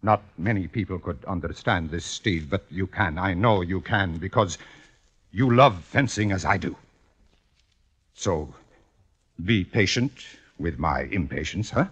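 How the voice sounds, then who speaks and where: An actor playing an impatient, ailing old fencing master, halting and emphatic, in a 1950s radio drama. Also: male voice, low-pitched, expressive, fairly bright tone, husky, heavily acted. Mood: fervent, pleading. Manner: halting, emphatic, repeats words.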